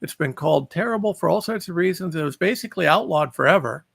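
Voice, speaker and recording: Gravelly host with a high voice. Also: male voice, expressive, fairly bright tone, no echo, clean studio sound. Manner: halting, questioning, many restarts. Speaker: show host. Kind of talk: interview talk.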